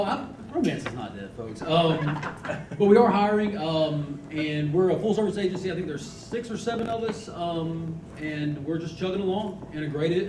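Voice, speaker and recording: A young man with a big voice introducing himself on a panel in a jokey, upbeat way. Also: a little high. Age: young adult. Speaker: panelist, agency partner. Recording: echoey room, distant mic, noisy.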